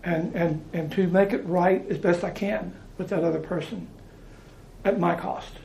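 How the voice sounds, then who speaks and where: A man's voice: high-pitched, steady tone, moderate pace, creaky, a little mellow, echoey room, slight background noise, low-quality recording. An American man preaching informally, talking in a conversational way, repeating phrases and restarting his sentences. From United States.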